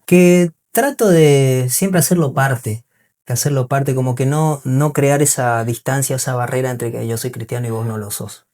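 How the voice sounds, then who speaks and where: A man with a mid-pitched voice and a Bolivian accent crossed with Argentine speaks as a podcast guest. He explains himself earnestly in casual conversation, often adding small tag questions to check he is understood. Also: normal speed, a little mellow. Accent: Bolivian mixed with Argentine. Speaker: interview guest, singer and musician.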